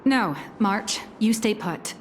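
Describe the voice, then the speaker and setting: A woman voicing a shrewd, decisive young agent in a game, cool and measured in manner. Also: mid-range voice, lively intonation, a little hiss or hum. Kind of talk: game voice acting.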